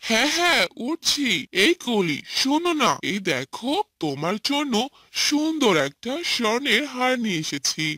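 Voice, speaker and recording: Woman acting a swooping, high-voiced young husband. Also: big pitch swings, normal speed, sharp tone, no echo, studio quality, heavily acted. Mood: affectionate. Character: young husband, smooth-talking then bullying. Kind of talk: Bengali cartoon voice acting.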